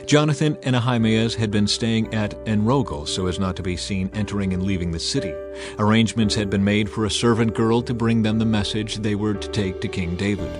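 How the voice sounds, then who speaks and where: A man reading scripture as a dramatized audiobook narration, measured and emphatic in his storytelling. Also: on the low side. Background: music under the voice.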